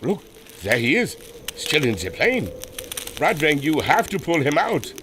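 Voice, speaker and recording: A man's voice: a little high, big pitch swings, a little slow, background noise. A man playing an earnest, remorseful German airship adventurer with a German accent, solemn and melodramatic. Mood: alarmed, urgent. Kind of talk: old-time radio adventure serial acting.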